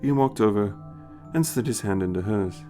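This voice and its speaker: A man narrating a scripted story in an expressive storytelling style. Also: lowish voice, moderate pace. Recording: music behind the voice. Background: music.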